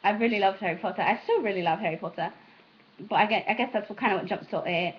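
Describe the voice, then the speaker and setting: A young woman from the American South chats in a self-taught British accent, her voice at an ordinary pitch. She rambles hesitantly, often breaking off and restarting her thoughts. The recording is dull and lo-fi, like a homemade vlog. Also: fast talker. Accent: British, put on by an American. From Southern United States. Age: young adult. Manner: hesitant, self-interrupting, meandering. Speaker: amateur vlogger. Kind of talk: casual rambling chat to camera. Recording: dull, lo-fi sound.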